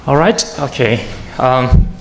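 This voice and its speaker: A man with a Thai accent, an atmospheric chemist giving a conference talk, halting with many fillers and restarts. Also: medium pitch, expressive. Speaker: panel speaker, atmospheric chemist. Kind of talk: conference presentation. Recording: echoey room with faint noise.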